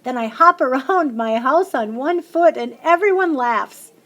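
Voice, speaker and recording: A woman's voice: measured pace, highs cut off. Woman reading a children's book aloud. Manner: lively, lifts her voice high for the children's chants. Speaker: reader of a children's picture book. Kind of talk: storybook read-aloud.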